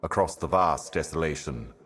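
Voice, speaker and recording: An ancient cosmic envoy speaks in an exceptionally low, bottomless male register. The delivery is measured and grave, like a prophet reciting cryptic scripture for a dark fantasy game. Every phrase carries solemn, portentous weight. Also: lively intonation. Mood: solemn, ominous. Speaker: video game character.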